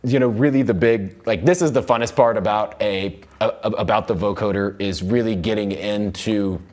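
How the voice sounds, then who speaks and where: A man's voice: fairly low pitch, normal speed, a little mellow. A man teaching music production in an informal tutorial, rambling loosely and thinking aloud as he works. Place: indoor recording studio.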